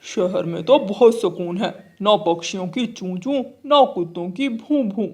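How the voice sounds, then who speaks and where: A snobbish male city crow from a children's cartoon, played by a man. The voice is high for a male, fussy and boastful, full of disdainful complaint. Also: unhurried, darkish tone. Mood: picky, snobbish. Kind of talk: Hindi children's cartoon story acting.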